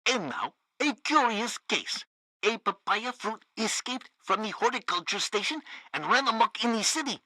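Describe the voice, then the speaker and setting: Game radio news host, smug and flippant, very lively, close dry sound. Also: female voice, fairly high pitch, normal speed, no echo. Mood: breezy. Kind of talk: satirical scripted radio news.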